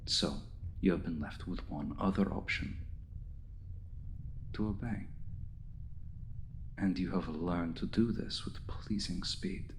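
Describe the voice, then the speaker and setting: An ancient male vampire, acted by a man, speaks in a low, creaky, gravel-edged voice. He is seductive and condescending, deliberate in his hypnotic phrasing, often dropping to an intimate murmur. It is an ASMR roleplay with a faint layer of noise behind the voice. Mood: seductive, commanding.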